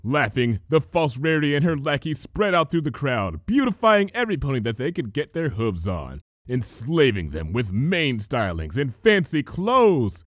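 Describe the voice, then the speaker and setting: A man narrates a scripted story with theatrical flair. His medium-pitched voice rises and falls widely from phrase to phrase. The recording is tight and dry, without room sound. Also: big pitch swings, moderate pace, hardly any pauses, no room sound. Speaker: fan fiction reader, narrator.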